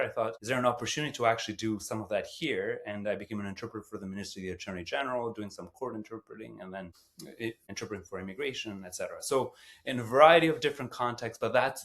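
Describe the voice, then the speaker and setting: A middle-aged man from Albania with a fairly low voice. He speaks as a podcast guest, recounting his own experience in a conversational interview style. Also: fairly bright tone. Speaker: podcast guest, company founder and former lawyer.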